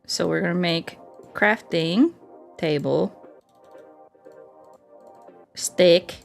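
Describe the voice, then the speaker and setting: Woman game streamer, kinda low voice, goofy n scatterbrained chatting to chat, bit of music in the back. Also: very slow, gravelly. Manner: playful, scatterbrained, repeats words and sing-songs nonsense. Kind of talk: casual live game commentary, chatting with viewers.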